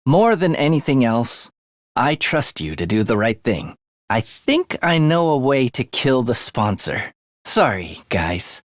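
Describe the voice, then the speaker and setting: A man's voice: medium pitch, big pitch swings, measured pace, no echo. A man voicing a sly young assassin in a video game, with a dry, sardonic wit and a theatrical, teasing manner. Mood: cool, calculating. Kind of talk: video game character voice lines.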